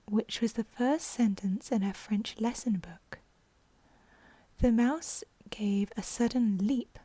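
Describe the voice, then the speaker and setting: A woman narrator with a fairly high, soft and breathy voice reads a bedtime story in an ASMR style. The sound is close and very dry. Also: takes it slowly, dry recording. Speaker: audiobook narrator.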